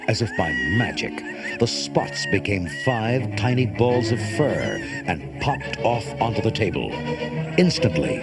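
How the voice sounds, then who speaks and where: Very animated male storybook narrator. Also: mid-range voice. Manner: dramatic, expressive storytelling. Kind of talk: scripted children's storybook narration. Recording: cassette transfer with loud music behind. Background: loud music.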